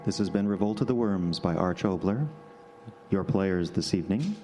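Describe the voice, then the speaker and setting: A man's voice: low voice, moderate pace. A man announcing the closing credits of a radio play as a scripted host. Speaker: announcer and cast member. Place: stage before a live audience. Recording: very noisy live stage recording.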